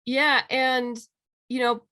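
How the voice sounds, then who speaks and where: A woman's voice: sits high, little pitch movement, slow, bright, forceful tone, no room sound. A woman talking casually and emphatically as an interview guest on a video call, with an enthusiastic manner. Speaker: interview guest, comic book writer and creator. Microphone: video call microphone.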